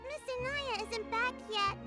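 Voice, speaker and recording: A woman voicing a tiny, eager, childlike fairy companion in a video game, with a squeaky voice. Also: unhurried. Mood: puzzled, concerned. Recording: game music loud behind. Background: tinkly game music.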